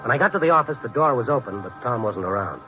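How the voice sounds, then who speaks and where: A man's voice: medium pitch, animated, quick pace, sharp tone, poor audio. A hardboiled, inquisitive narrator-hero from 1950s radio noir. His mid-range voice is punchy and wry, heard on a vintage broadcast with prominent music behind. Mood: tense, brooding. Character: earnest, inquisitive hardboiled male reporter-narrator.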